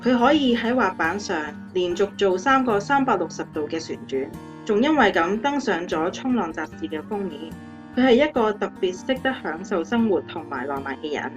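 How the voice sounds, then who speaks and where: Radio drama: actress as affectionate, earnest young fiancée, mid-range voice, guitar underneath. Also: female voice, mid-range voice, expressive, moderate pace, muffled recording, close to an everyday voice. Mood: admiring, happy. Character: adoring, happy young fiancée of a motivational speaker. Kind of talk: scripted radio drama interview. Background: guitar music.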